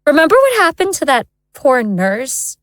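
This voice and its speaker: Woman acting a high-voiced, anguished wife. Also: wildly swooping pitch, normal speed, dry recording, studio quality. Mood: bitter, insistent. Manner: insistent, emotional. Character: fed-up, anguished wife and mother Rachel. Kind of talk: audio horror drama acting.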